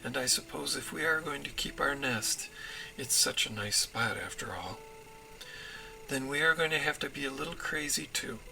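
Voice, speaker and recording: Man reading as a sassy, bright-voiced mother robin. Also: medium pitch, expressive, normal speed, gravelly, faint music behind, heavily acted, low-quality recording. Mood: wry. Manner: sassy, wry, combative. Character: feisty, sassy female robin wife, indignant and bold.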